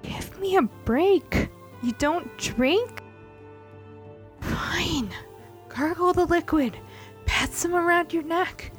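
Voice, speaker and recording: A gruff pirate man who swears through every line and barks his threats, as a woman narrator puts him on. He is stern and hard-edged, with faint music playing behind the voice. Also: extremely high pitch, takes it slowly, pauses often, voice pushed up. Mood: scornful. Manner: gruff, cursing, shouting threats. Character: stern, foul-mouthed male pirate enforcer.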